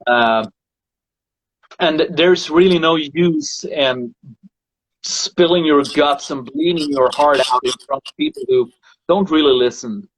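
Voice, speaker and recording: A male singer hosting a casual chat about voices, speaking thoughtfully and halting often to find his words. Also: on the high side, rough recording. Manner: thoughtful, halting, repeats words while searching. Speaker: singer and show host. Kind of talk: casual conversation about singing.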